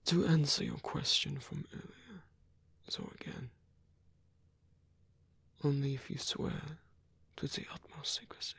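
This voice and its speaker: Husky, whispering old male vampire, acted by a man. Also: fairly high pitch, no echo, heavily acted. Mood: confiding, gentle. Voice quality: breathy, husky half-whisper. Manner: slow, halting, softly teasing. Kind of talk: ASMR roleplay.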